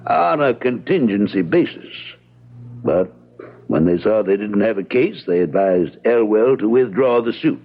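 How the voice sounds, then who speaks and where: An actor playing a reserved, evasive American attorney in old-time radio drama style, hedging in a measured, matter-of-fact way. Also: male voice, lowish voice, lively intonation. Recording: tinny, narrow-band vintage radio broadcast.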